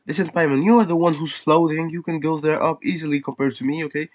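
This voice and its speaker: A man's voice: on the high side, steady tone, fast talker. A man commenting casually on a video game as he plays, reacting aloud and talking back to the characters. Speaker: let's play gamer.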